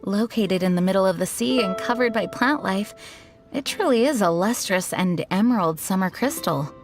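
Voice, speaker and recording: A woman's voice: medium pitch, lively intonation, normal speed, close to an everyday voice. A woman playing a composed, thoughtful young woman in a video game, speaking formally and poetically. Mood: contemplative. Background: background music.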